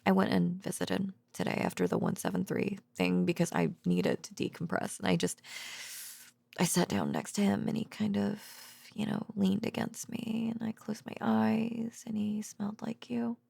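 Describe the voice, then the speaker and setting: A woman playing an affectionate, drowsy girlfriend in an intimate bedtime audio roleplay, murmuring softly and hesitantly with drifts into whispering. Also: medium pitch, expressive, moderate pace, dry recording, full character voice. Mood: tender, dreamy. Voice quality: soft, breathy murmur dipping into whispers and creak. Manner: hesitant, rambling, giggly, tender. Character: affectionate, sleepy, bashful girlfriend, a researcher home from work. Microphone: close microphone, dry.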